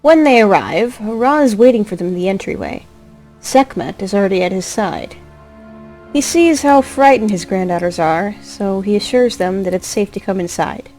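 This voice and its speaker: A woman narrating a scripted drama as a storyteller, expressive, with a wry touch. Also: medium pitch, moderate pace. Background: music.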